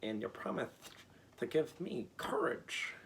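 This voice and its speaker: A man's voice: medium pitch, wildly swooping pitch, a little slow, a little hiss or hum, voice pushed up, poor audio. A man voicing an anxious, cowardly talking lion from a storybook, exclaiming.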